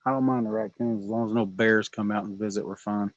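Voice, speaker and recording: A man's voice: on the low side, fairly even intonation, fast talker, no echo, highs cut off. A man, joking and chatting casually while night fishing on a lake, coaxing the fish aloud. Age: 40s. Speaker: fishing vlog host.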